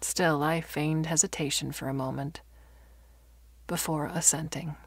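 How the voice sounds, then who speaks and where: A woman reading a short story as dramatic audiobook narration, expressive and often softening to a breathy delivery. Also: low voice, measured pace, no room sound.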